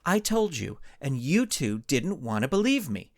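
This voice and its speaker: A man's voice: mid-range voice, sing-song, a little slow, dry recording, studio quality. A man voicing an assertive, impatient superheroine with an insistent manner in a story. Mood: insistent, frustrated.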